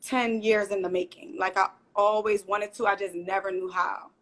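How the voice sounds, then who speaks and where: A woman's voice: medium pitch, little pitch movement, normal speed, fairly bright tone. A woman with an American accent, raised in Philadelphia, chatting in a friendly, informal way as an interview guest. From born in Trinidad and Tobago, raised in Philadelphia, USA. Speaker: interview guest, small business owner and hairstylist. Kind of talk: casual conversation.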